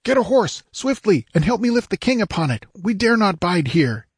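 Man acting a frantic, high-pitched young squire. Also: moderate pace, no room sound, studio quality. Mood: urgent. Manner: breathless, declamatory, exclamatory. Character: young squire, frantic excitable lookout at a battle. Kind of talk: dramatic fantasy audiobook character voice.

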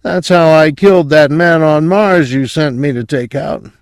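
A sedated audiobook character, played by a man, half-conscious and drowsy. His voice is high and dull, mumbling as if barely awake. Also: a little slow, smooth, no room sound, studio quality. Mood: dazed confiding. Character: drugged, half-conscious man mumbling secret memories of aliens.

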